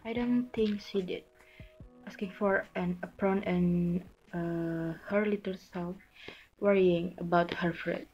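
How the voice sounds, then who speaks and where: A young woman playing a wistful, sympathetic farm wife in an amateur stage drama, with halting delivery. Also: fairly low pitch, fairly even intonation. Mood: doubtful. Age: young adult. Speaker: student actor in a class play. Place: small curtained room at home. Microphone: phone or laptop at arm's length. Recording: muffled narrow-band home recording, music behind.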